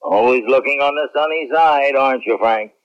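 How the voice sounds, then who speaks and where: A man playing a steady, encouraging military general in a science fiction radio drama, with an authoritative, measured manner. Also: mid-range voice, natural voice. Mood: concerned, measured. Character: male commanding general, steady, encouraging and cautious. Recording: muffled 1970s radio recording.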